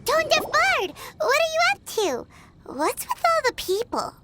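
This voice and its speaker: A woman voicing a tiny childlike fairy companion in a video game, squeaky, excitable, blunt and sassy. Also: a little slow, very noisy, full character voice. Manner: speaks of herself in third person, sassy. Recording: loud music behind.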